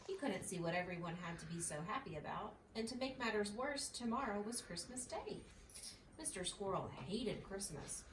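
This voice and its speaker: A woman reads a children's story aloud in an expressive, lively storytelling style. Her pitch moves around freely. The recording is roomy and a bit distant, with faint noise. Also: normal speed. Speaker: mother reading a storybook aloud.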